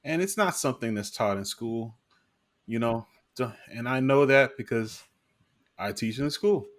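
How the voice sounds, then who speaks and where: A man's voice: medium pitch, expressive, unhurried. A man co-hosting a podcast, talking earnestly in a casual discussion, often restarting and adding "you know". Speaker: podcast co-host.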